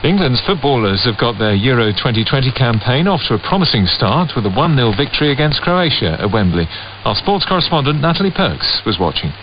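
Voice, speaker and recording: A man reads the news in a steady, even manner, his voice at a middle pitch. The signal is muffled and narrow. A faint hiss lies underneath. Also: a little fast. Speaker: newsreader. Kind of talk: scripted news bulletin. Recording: muffled shortwave radio with faint static.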